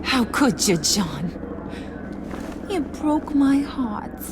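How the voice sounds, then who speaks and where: A woman's voice: high voice, big pitch swings, drawn-out words, background noise, full character voice. An actress voicing a volatile, brassy female criminal in a video game. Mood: hurt. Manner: sassy, slangy, theatrical taunting.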